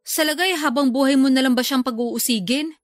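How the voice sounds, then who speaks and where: A woman's voice: high-pitched, fairly quick, dry recording, natural voice. A Filipino woman playing a defensive, sulky older sister in a radio drama, pleading and wheedling. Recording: music under the voice.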